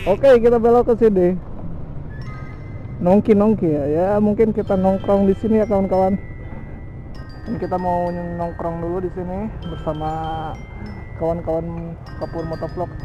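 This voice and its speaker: A man's voice: sits high, steady tone, normal speed, long pauses, dull audio, faint music behind. A young man from Indonesia chatting casually and jokingly while riding a motorcycle, speaking through a helmet-mounted camera mic with wind and engine noise. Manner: slangy, joking, laughs often. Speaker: motovlogger. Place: outdoors on a motorcycle on a tree-lined road. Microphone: helmet-mounted action camera mic.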